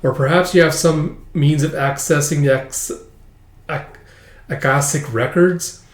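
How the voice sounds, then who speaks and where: A man's voice: a little high, steady tone, a little slow, natural voice. A man voicing a pompous, grandiose schoolboy know-it-all with a mystical bent, from a comic story. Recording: roomy, echoey sound.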